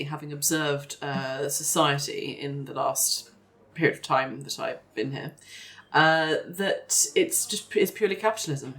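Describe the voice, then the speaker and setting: An improviser plays a bookish outsider in a tabletop roleplay. The voice sits at a medium pitch, and the phrasing is measured, precise and analytical. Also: fairly bright tone, natural voice. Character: analytical, bookish outsider observing human society.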